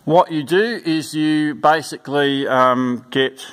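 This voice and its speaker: A man giving an informal, unscripted instructional walkthrough in a plain-spoken, halting way, repeating his instructions. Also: a little high, a bit bright. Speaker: church sound system instructor. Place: indoors in a church.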